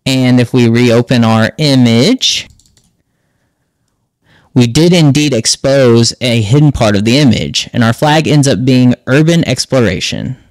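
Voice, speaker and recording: A man's voice: mid-range voice, a little slow, no echo, clean studio sound. A man hosting a tech tutorial, explaining step by step in a casual screen-narration style. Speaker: host of a tech tutorial channel.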